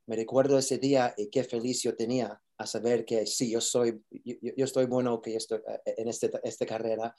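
Spanish-English mixing man, mellow voice. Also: medium pitch, flat delivery, fairly quick, hardly any pauses. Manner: mixes Spanish and English, hesitant with many fillers and restarts. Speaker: actor being interviewed. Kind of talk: casual interview answers.

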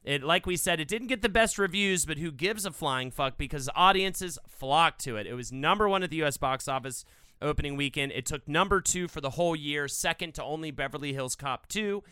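A man's voice: a little high, a little fast, pushed and bright, smooth, no room sound. A man co-hosting a film podcast in casual chat, upbeat and reading facts and quotes aloud. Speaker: podcast co-host.

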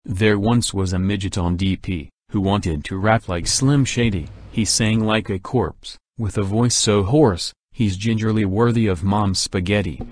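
A synthetic male text-to-speech voice reading out short messages in a flat, scripted way. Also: lowish voice, a little slow, few pauses, no room sound. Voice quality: synthetic computer voice. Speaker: text-to-speech reader of viewer donation messages.

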